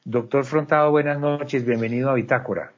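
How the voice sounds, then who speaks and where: A man hosting an interview, asking questions with hesitant pauses and fillers. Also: mid-range voice, fairly even intonation, lo-fi sound. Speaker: host.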